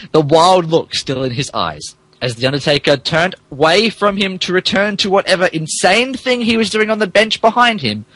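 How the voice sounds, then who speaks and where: A man's voice: fairly high pitch, a bit bright, no room sound, poor audio. A man narrating fan fiction as a comedic dramatic reading, stumbling over words and adding asides. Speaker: podcast host reading as narrator.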